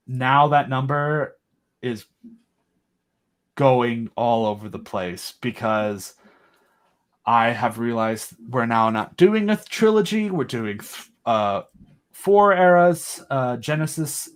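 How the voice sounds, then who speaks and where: A man's voice: medium pitch, slow pace. A man talking as a guest in a casual chat, enthusiastic and rambling, with lots of fillers and restarts. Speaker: podcast guest and audio drama creator.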